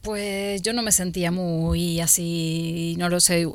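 A woman's voice: on the low side, little pitch movement, slow pace. A woman from Argentina with a Spanish accent from Spain, a guest who laughs often and talks about herself with self-deprecating humor. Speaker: guest, stop-motion animator. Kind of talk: casual interview chat.

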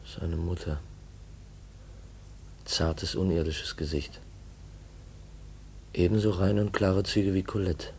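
An actor playing an earnest, brooding young man in a 1950s German radio play, with halting, reflective and intense delivery. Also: sits low, fairly even intonation, gravelly, darkish tone, a little hiss or hum, heavily acted. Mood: polite, probing. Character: earnest, brooding young nephew searching for his dead uncle's secret. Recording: 1950s radio drama recording.

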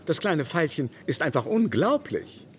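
An actor playing a pompous, condescending, effusive baron in a radio drama, with a grandiloquent, mannered delivery. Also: male voice, fairly high pitch, big pitch swings, a little slow. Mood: effusive, mocking. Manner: grandiloquent, mannered, swooping exclamations.

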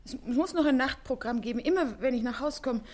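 A woman's voice: high voice, fast, a little mellow. An actress playing a vulnerable but proud young woman in love, hesitant and intense, in a classic radio play. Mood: timid.

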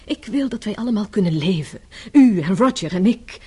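An actress in a radio play, playing a composed, pragmatic grown daughter who speaks firmly and earnestly. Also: female voice, mid-range voice, lively intonation, normal speed, dark tone, breathy edge. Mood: pleading, insistent. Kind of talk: radio drama acting.

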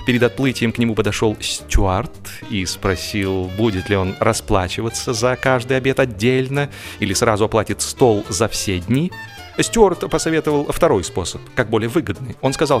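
A man narrating a humorous story in an expressive, theatrical, wry manner with deliberate emphasis. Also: mid-range voice. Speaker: audiobook narrator. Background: music.

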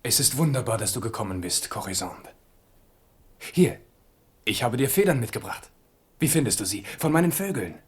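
A man plays a young-hearted warrior king in a vintage German radio play. He is impulsive and bold, his pitch leaping widely around a middling register, moving between hushed and ringing theatrical delivery. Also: expressive, moderate pace, long pauses, lightly acted. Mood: tender, then boyish, eager. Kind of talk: 1970s German radio drama acting.

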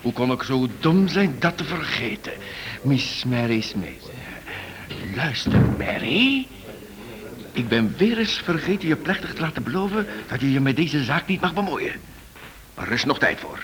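An actor playing a brisk, wry and bossy police inspector in a radio drama. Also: male voice, mid-range voice, very animated, fairly bright tone. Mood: exasperated, sarcastic. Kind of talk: Dutch radio drama acting.

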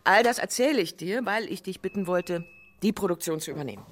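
An actress playing a harried, pushy radio editor with a familiar, bossy manner in naturalistic radio drama dialogue. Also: female voice, medium pitch, animated, fast, lightly acted. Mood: businesslike, pushy. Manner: familiar, bossy, interrupts themself.

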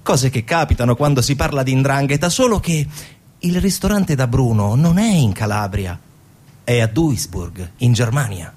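Husky male theatre narrator. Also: medium pitch, lively intonation, normal speed, darkish tone. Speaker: stage actor and narrator.

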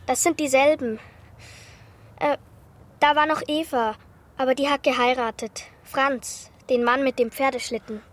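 A woman's voice: sits high, fairly even intonation, measured pace, long pauses, a little hiss or hum. An actress playing an observant, candid young girl in a radio play, talking in a chatty, matter-of-fact way. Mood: eager.

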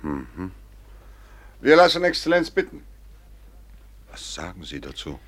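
A man's voice: deep voice, huge pitch swings, measured pace, fairly bright tone, heavily acted. A man playing a kind-hearted, impassioned town mayor in a radio play, emphatic yet courteous. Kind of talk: German radio play acting.

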